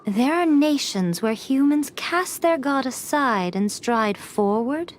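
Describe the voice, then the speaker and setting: A woman's voice: high-pitched, lively intonation, slow pace, slight background noise. A woman playing a poised, challenging figure of mystic authority in a video game, with a probing, pointed delivery. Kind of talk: dramatic video game acting.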